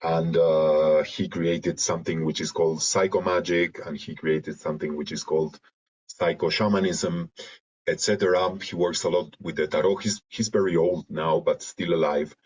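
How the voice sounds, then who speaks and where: A man from Argentina with a Spanish accent, reflecting in an interview in halting, searching phrases over a video call. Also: deep voice, steady tone, a little mellow, husky. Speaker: interview guest, creative and therapeutic facilitator. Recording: dull lo-fi video call audio.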